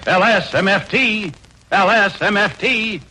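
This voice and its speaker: Bright, punchy American radio announcer. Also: male voice, high-pitched, measured pace, soft background music. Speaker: commercial announcer. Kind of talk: 1940s radio cigarette ad. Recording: noisy 1940s radio recording.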